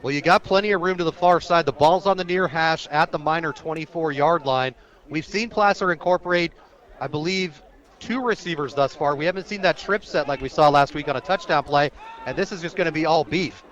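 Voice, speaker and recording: A man with an American accent and a fairly high voice calls football live for radio. He describes each moment of the action as it unfolds, from outdoors at the stadium. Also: a little fast, a bit bright, highs cut off. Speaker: play-by-play sports announcer.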